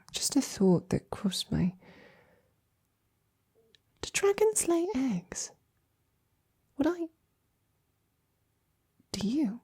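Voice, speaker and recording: A woman playing a loving, flustered lady knight in an intimate roleplay audio drama, speaking softly and haltingly with tender, teasing affection. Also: on the low side, exaggerated voice. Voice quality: soft, breathy, husky. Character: loving, flustered female royal knight courting a princess.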